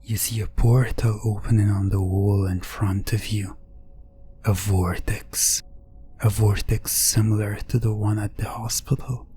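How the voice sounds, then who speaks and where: A man narrating a hypnotic ASMR story in the second person, breathy and often whispering, with long pauses and repeated phrases. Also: lowish voice, mellow, slight background noise.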